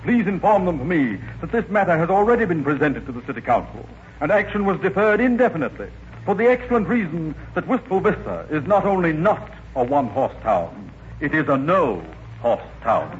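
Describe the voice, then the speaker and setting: Pompously formal mayor, radio comedy: highish, very varied pitch, precise wordy delivery, muffled old broadcast. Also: male voice, sing-song, fairly quick. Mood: stately, dry. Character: dignified, long-winded, exasperated town mayor. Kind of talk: 1940s radio comedy acting. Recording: muffled 1940s radio recording, faint noise.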